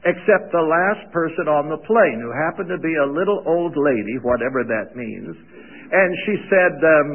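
Loud, folksy older preacher. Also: male voice, on the high side, moderate pace, creaky. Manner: folksy, self-deprecating humor. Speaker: visiting preacher and radio Bible teacher. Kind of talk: sermon introduction with humorous stories. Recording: tinny phone-like radio sound.

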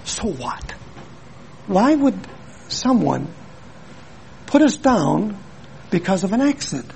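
A man's voice: high-pitched, sing-song, slow, gravelly, a little mellow, slight background noise. An older man from Milwaukee preaching a sermon in an earnest, emphatic way, repeating his points and telling stories. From Milwaukee, USA.

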